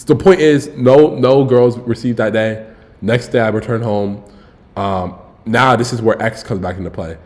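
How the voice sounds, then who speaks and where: Low-ish voiced guy in his 20s rambling a story on stream, slangy, says bro a lot. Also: moderate pace, darkish tone. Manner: slangy, rambling, self-correcting; laid-back, frequent "bro" asides. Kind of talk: casual storytelling to viewers.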